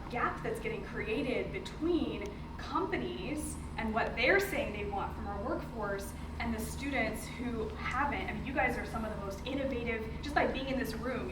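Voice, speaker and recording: A woman in her twenties giving an informal, chatty presentation to a group as a workshop facilitator. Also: on the high side. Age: 20s. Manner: chatty, informal, often laughing. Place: indoor room with a group, recorded off the mic. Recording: echoey room, distant mic, clear background noise.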